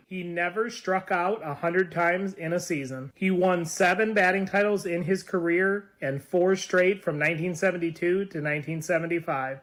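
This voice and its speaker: A man reading a scripted narration of baseball career facts. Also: fairly high pitch.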